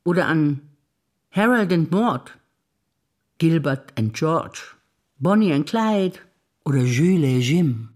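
A wistful, playful woman in a small absurdist stage play, reciting her memories like a deliberate litany. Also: deep voice, expressive, a little mellow, full character voice. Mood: playful, teasing. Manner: deliberate, incantatory repetition. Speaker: stage actor. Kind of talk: theatrical spoken monologue of memories.

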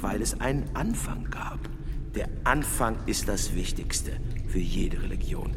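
Male actor as powerful smug bishop, radio drama, deliberate, breathy hushed menace, long reverb, loud music. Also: mid-range voice, lightly acted. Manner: commanding, deliberate, slipping into breathy hushed tones. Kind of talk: German radio drama acting.

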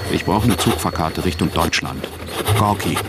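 A man acts a penniless, wily runaway socialist for radio drama. His voice is very low and his phrases come in dramatic fragments. Clear background noise rattles along with him. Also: normal speed, lightly acted. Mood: pleading, urgent. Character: desperate, broke male socialist fugitive, pleading and wily. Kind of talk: German radio drama acting.

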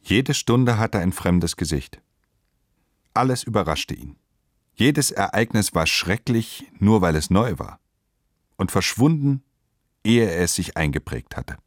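A man narrating a literary radio play with measured, deliberate phrasing. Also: lowish voice, animated, no echo, studio quality.

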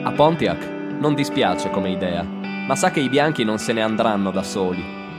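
A man reading a story in a theatrical, declamatory way, in short deliberate phrases. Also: lowish voice, expressive. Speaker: storyteller, reader of own texts. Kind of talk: dramatic narrated reading over live music. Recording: voice over loud live guitar music. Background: guitars and rhythm section.

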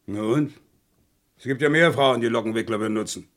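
An actor playing a surly, evasive male murder suspect in a vintage crime radio play, curt, defensive and dismissive, with a gravelly voice. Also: mid-range voice, fairly quick, fairly bright tone, natural voice.

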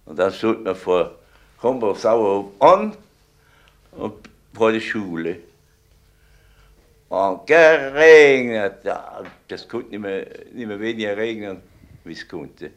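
A man with a fairly low voice recounts memories very slowly. He is earthy and emphatic, repeating phrases as he goes. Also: expressive. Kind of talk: spontaneous oral recollection.

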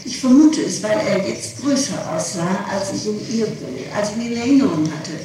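A woman's voice: mid-range voice, lively intonation, moderate pace. A middle-aged woman answering questions in an interrogation, hesitant, repeating and correcting herself. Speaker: witness under questioning. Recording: echoey, distant, noisy 1960s archive tape.

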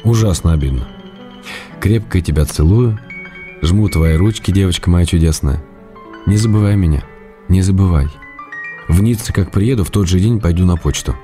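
Actor as deep-voiced tender middle-aged writer, over music. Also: male voice, lightly acted. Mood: longing. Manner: affectionate, gently teasing, measured. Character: male Russian writer in middle age, tender, wry, lonely letter-writer. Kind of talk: radio play reading of love letters, intimate.

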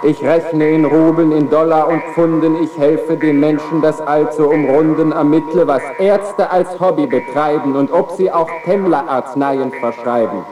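An actor playing a boastful talking computer, mechanically reciting rhymes on a 1960s advertising record. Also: male voice, a little high, steady tone, normal speed, few pauses, roomy sound, far from the mic, over music, lightly acted, poor audio.

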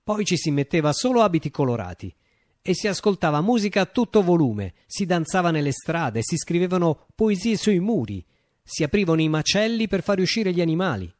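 A man performing a radio monologue as a reflective revolutionary recalling a utopian uprising, with deliberate phrasing and dramatic pauses. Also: high-pitched, big pitch swings, a little mellow, dry recording. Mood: wistful, exalted.

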